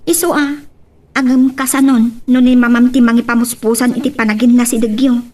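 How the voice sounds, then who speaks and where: A woman with an Ilocano accent playing a gentle young sweetheart in a radio drama. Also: on the high side, level tone, a little fast, distant mic, natural voice. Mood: uneasy.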